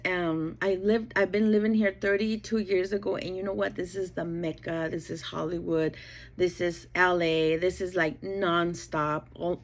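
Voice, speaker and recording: Middle-aged woman from El Paso, bilingual Spanish-English, mid-range voice, informal and rambling online cooking host talking to viewers. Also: mid-range voice. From El Paso, Texas. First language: bilingual Spanish and English from childhood. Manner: chatty, rambling, often checking in with "okay" and "you know". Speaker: YouTube cooking channel host. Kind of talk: casual self-introduction to viewers.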